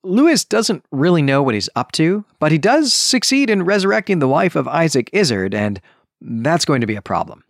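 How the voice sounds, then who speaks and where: Animated man recapping a book, dry sound. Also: fairly high pitch, normal speed, no echo. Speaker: podcast host. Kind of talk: conversational book recap commentary.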